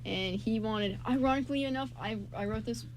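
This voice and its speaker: A woman's voice: fairly high pitch, fairly quick. Woman chatting casually, noisy amateur recording. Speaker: radio show co-host and writer. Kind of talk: casual chat. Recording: amateur recording with background noise.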